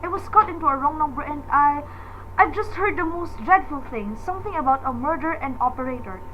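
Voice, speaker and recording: A woman's voice: sits high, animated, fairly quick, fairly bright tone, highs cut off, a little hiss or hum. A woman playing a frail, nervous housewife in a radio drama, agitated, imperious and melodramatic. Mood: agitated, indignant. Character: frail, nervous, demanding housewife alone at night.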